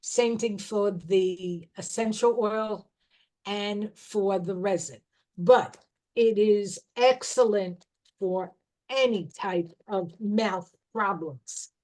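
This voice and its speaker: A middle-aged woman talking informally about herbal remedies, explaining slowly and restarting her sentences as she goes. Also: mid-range voice, darkish tone. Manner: slow, unhurried explaining with restarts. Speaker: herbal educator.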